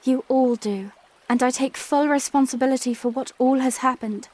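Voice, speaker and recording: A woman's voice: high-pitched, steady tone, normal speed, a little mellow. A woman playing an earnest, dignified young princess with formal, courtly speech in a fantasy audio drama. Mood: sorrowful, humble.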